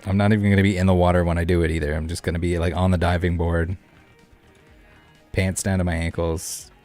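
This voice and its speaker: A male streamer with a low voice talks casually through a card game. He rambles and jokes with his viewers in a laid-back way. Faint music plays behind him. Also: flat delivery, brisk, darkish tone. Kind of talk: casual live game commentary and chat with viewers. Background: background music.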